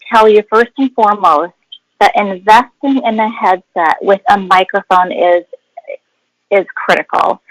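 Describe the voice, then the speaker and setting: A woman's voice: lowish voice, a bit bright, no room sound, poor audio. A woman speaking as a guest HR expert, explaining in an instructive webinar style with emphasis on key words.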